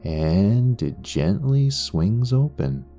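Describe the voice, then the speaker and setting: Man, guided meditation narration, low mellow voice, soothing delivery, music underneath. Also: big pitch swings. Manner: soothing, unhurried, with long pauses. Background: background music.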